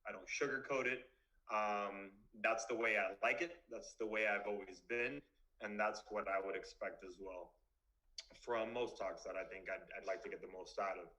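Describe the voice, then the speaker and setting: A man's voice: on the low side, pushed and bright, rough recording. A man in his twenties from Miami, a dentist giving a blunt, casual talk to students over a video call. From Miami, Florida. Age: 20s. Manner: blunt, straightforward, casual with fillers. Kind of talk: online presentation to students.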